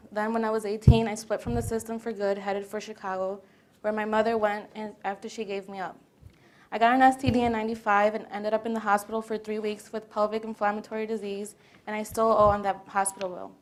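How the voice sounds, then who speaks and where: A woman's voice: mid-range voice, darkish tone. A young American woman reading a first-person testimony as a plain readers theater monologue. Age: young adult. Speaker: student performer.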